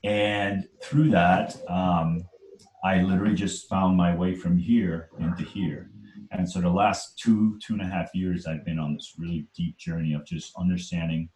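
A man in his forties from Trinidad speaks in a very low voice and tells his story earnestly as an interview guest. He leans into his points and keeps checking in with "right?". The room around him adds a clear echo. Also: fairly even intonation, a little slow, a little mellow. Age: 40s. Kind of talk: conversational storytelling.

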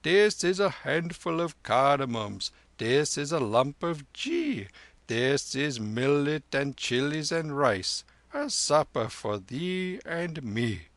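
A man voicing an elderly, gentle lama who speaks in slow, solemn, archaic phrases in a storybook reading. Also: fairly high pitch, sing-song, no echo, voice pushed up, heavily acted. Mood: playful.

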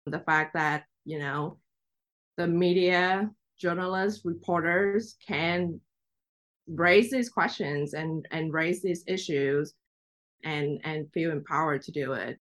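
A woman's voice: low-pitched, takes it slowly, a bit bright. A young woman from Vietnam whose first language is Vietnamese, telling her story in a chatty, reflective way with light laughs. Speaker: guest journalist. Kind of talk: casual interview talk.

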